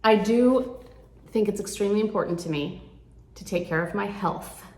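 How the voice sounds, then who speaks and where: A woman's voice: medium pitch, moderate pace, a little mellow. A woman of sixty talking candidly and earnestly to her viewers, reflecting at a rambling, thoughtful pace. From United States. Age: 60s. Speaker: vlogger. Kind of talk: candid reflective chat to camera. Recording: echoey room.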